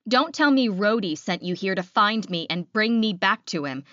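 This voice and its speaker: A woman voicing an angry, proud female werewolf leader, sharp and confrontational, in an audiobook. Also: medium pitch, expressive, normal speed, dry recording, highs cut off, natural voice. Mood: demanding.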